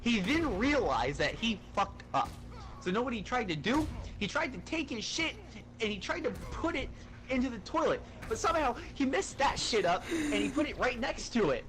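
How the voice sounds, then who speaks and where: A man telling a story in an animated, casual way, swearing and sounding exasperated as he goes. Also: very high-pitched, moderate pace, soft background music. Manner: profane, exasperated, uses acted-out dialogue.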